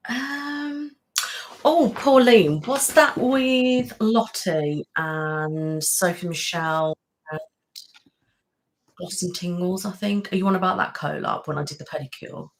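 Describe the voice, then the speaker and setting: A young woman with a low voice chats casually with her livestream viewers, thanking them by name. She talks in a friendly, rambling way, with plenty of "um" and "literally", and sounds worn out. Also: slow. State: tired from a house move. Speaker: ASMR channel host. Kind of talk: casual live-stream chat reading comments.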